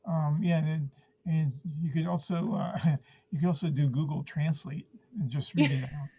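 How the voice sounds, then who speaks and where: A man's voice: a little high, steady tone, moderate pace, mellow, slightly rough. A man from Argentina, chatting casually as a comedian guest, hesitant with many fillers. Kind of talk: casual chat. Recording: dull lo-fi call audio.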